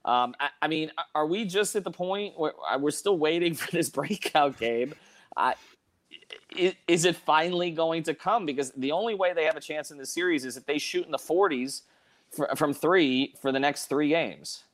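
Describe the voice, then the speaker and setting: Halting male sports podcast host. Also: on the high side, fairly bright tone. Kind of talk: casual sports discussion, putting questions to a panel.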